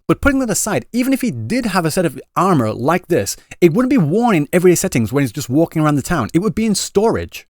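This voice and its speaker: A man talking quickly and chattily in a commentary vlog, reading out translated comments and restarting phrases. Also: on the high side, a little mellow, no echo, studio quality. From Britain. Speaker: YouTuber explaining Japanese reactions.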